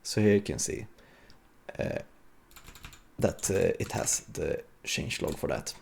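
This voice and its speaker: A man's voice: low voice, animated, unhurried, vocal fry, darkish tone, slight background noise. A man giving an informal technical demo at a conference, thinking aloud with some hesitation as he goes. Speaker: software developer giving a conference talk. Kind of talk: live coding demo, technical presentation.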